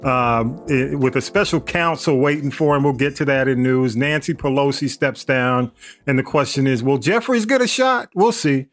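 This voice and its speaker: A man's voice: medium pitch, normal speed, fairly bright tone. A man hosting a podcast, chatting casually, laughing often and calling his listeners y'all. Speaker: podcast host. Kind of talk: casual chat.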